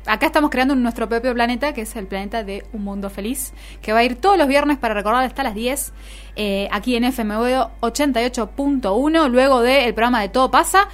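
A woman's voice: a little high, a little fast. An Argentine woman hosting a radio show in casual, informal chat with her co-hosts. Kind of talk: casual radio chat about the week's news. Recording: music bed under the voice. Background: music.

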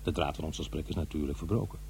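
A man's voice: rumbling low, racing, darkish tone, a little hiss or hum. An actor playing a middle-aged divorced father who muses wryly over his memories in a radio drama. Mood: musing, wry. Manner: musing, hesitant, talking to himself. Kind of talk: radio drama monologue, conversational and introspective.

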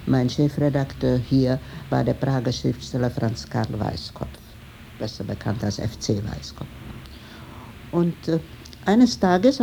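An older woman giving spontaneous, deliberate and somewhat hesitant recollections as an eyewitness. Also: on the low side, mellow, slight background noise, low-quality recording. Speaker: interviewee, eyewitness recalling the past. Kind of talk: spontaneous reminiscence.

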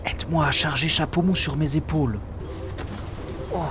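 A man's voice: a little high, normal speed, close to an everyday voice. A man playing a cocky, wry space secret agent in a French science-fiction audio drama. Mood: brisk, focused. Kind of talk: French sci-fi audio drama, first-person narration and dialogue. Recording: roomy sound with music behind.

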